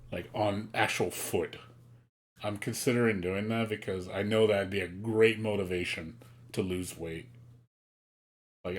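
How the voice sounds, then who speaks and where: A man in his late thirties, talking to viewers on his live stream in a candid, rambling way. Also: fairly low pitch. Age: late 30s. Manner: candid, rambling, self-critical.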